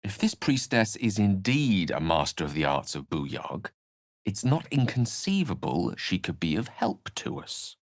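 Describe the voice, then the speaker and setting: A learned wizard from a fantasy video game, with a fairly low voice that moves expressively up and down. He phrases things elaborately and theatrically, with dry wit, in a close, dry recording. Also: big pitch swings, measured pace, no room sound, exaggerated voice. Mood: measured, thoughtful. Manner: articulate, wordy, theatrical phrasing. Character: eloquent, scholarly male human wizard with dry wit.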